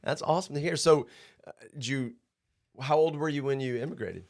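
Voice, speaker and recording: A man's voice: on the high side, brisk, darkish tone. A man hosting a casual surf podcast in a studio, upbeat and chatty, laughing often. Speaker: podcast host.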